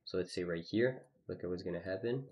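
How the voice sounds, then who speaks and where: A man's voice: sits low, fairly quick, a little mellow, muffled recording. A man streaming live, casually walking through his trades on a chart, with lots of "you know" and "okay". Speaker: trading streamer.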